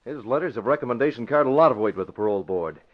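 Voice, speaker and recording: An actor playing a firm, crusading newspaper publisher in a curt, authoritative old-time radio drama style. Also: male voice, mid-range voice, animated, racing, close to an everyday voice. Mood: indignant, resolute. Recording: dull vintage radio broadcast.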